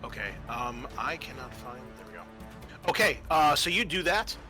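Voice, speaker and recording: A man's voice: medium pitch, big pitch swings, normal speed, pushed and bright. A man narrating a tabletop roleplaying game as game master, informal and thinking aloud as he goes. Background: music.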